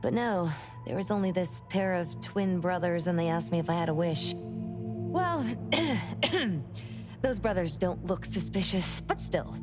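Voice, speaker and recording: A woman voicing an excitable, self-mocking reclusive manga artist in a video game, with dramatic delivery. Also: lowish voice, unhurried. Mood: animated storytelling. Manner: dramatic, exclamatory. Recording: music behind the voice.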